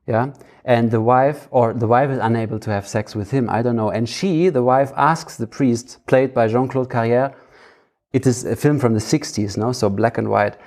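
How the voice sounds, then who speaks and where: Guy hosting a podcast, creaky soft mellow voice, halting, feels around for words. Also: mid-range voice. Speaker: podcast host. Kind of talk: casual conversational podcast talk.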